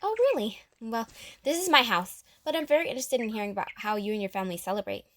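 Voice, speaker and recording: A woman's voice: on the high side, animated, quick pace, a bit bright, a little hiss or hum. A woman playing a friendly, curious teenage girl in an amateur audio drama, chatty and eager.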